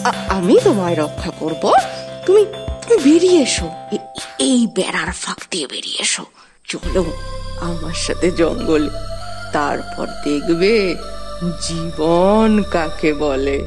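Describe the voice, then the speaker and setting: A sly male fox, played by a woman in a cartoon fable, coaxing with false friendship. The delivery is slow and very animated, swooping around over background music. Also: on the low side, voice pushed down. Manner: coaxing, wheedling, mock-indignant.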